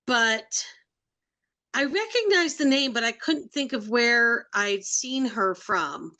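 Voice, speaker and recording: A woman's voice: a little high, expressive, takes it slowly, bright, forceful tone. Woman chatting on a podcast. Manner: chatty, uses fillers. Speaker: podcast co-host. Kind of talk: casual chat.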